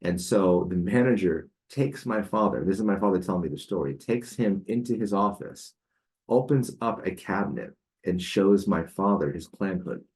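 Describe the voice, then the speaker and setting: A man's voice: low voice, normal speed, a little mellow, rough recording. A man in his forties, a podcast guest, telling a personal story in a casual, hesitant way with many fillers and restarts. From United States.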